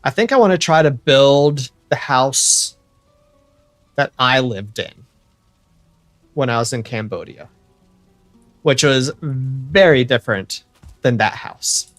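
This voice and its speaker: A man's voice: mid-range voice, lively intonation, slow pace, long pauses, fairly bright tone, no echo, soft background music. A young American man chatting casually to viewers while he livestreams a building game, rambling and correcting himself as he goes. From United States. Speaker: streamer.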